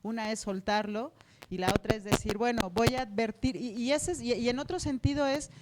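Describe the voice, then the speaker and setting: A woman's voice: fairly high pitch, brisk, very noisy. A woman journalist with a Mexican accent answering informally on a panel, colloquial and full of asides.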